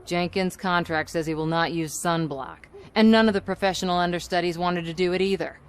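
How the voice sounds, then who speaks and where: A woman's voice: lowish voice, steady tone, brisk, clear, resonant tone, close to an everyday voice. An actress playing a scheming, manipulative woman in charge of a commercial shoot in a video game, sly and assertive. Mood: defensive, irritated. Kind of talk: video game cutscene acting.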